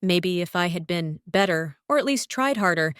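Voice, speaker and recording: A woman voicing a grieving, soft-hearted female warplane haunted by war, speaking in a somber, confessional way in an animated drama. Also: lowish voice, normal speed, clear, resonant tone, no echo, studio quality. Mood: grieving, guilty.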